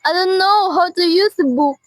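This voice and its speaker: A woman's voice: extremely high pitch, normal speed, no echo, rough recording. A teenage girl playing a frantic, imperious, nervous housebound wife in an amateur radio drama, indignant and haranguing. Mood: desperate, pleading. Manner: indignant, haranguing, repeats phrases. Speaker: student performer.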